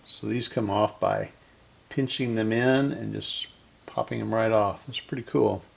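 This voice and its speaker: A man's voice: on the low side, fairly even intonation, unhurried, creaky. A middle-aged man hosting a casual hands-on repair demonstration, talking to himself unscripted as he works. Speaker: host of a vintage electronics repair channel. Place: indoor electronics workbench.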